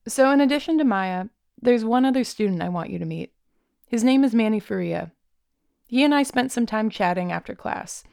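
Creaky-voiced woman reporter narrating. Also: mid-range voice, a little mellow, no echo, clean studio sound. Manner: measured storytelling. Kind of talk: scripted narration.

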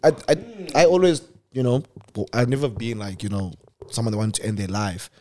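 A man's voice: on the low side, lively intonation, fairly quick, warm tone. Easygoing young man on a podcast. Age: 20s. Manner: easygoing, hesitant, repeats words. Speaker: podcast co-host. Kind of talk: casual chat.

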